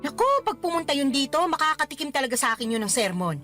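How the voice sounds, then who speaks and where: Animated nagging mother. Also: high voice, quick pace. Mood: mock scolding. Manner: exclamatory, scolding, motherly. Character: teasing, nagging, fond middle-aged mother. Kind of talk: radio drama dialogue. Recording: music under the voice. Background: music.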